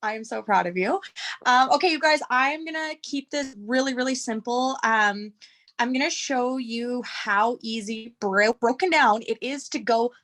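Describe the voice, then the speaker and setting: A bubbly, upbeat woman speaks to her team on a video call. Her voice sits a bit high and stays enthusiastic throughout. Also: normal speed, fairly bright tone. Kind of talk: casual presentation on a video call.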